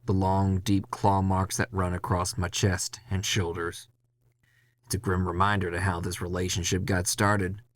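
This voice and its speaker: A man's voice: low voice, fairly bright tone. A man narrating a scripted first-person audio drama in a wry, gritty, world-weary storytelling style.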